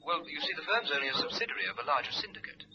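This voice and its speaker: An actor playing a polite, apologetic English general manager heard over the telephone in a radio drama, clipped and hesitant. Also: male voice, on the high side, expressive, racing. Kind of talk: old-time radio drama acting. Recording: muffled phone voice, noisy vintage radio.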